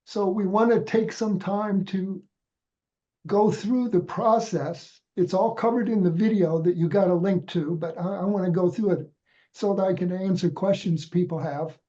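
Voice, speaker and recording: A man's voice: sits high, fairly even intonation, normal speed, warm tone, dull audio. A man with a head cold, congested, walking volunteers patiently through a website step by step on a video call, with frequent restarts. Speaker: volunteer trainer. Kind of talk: step-by-step instructional walkthrough.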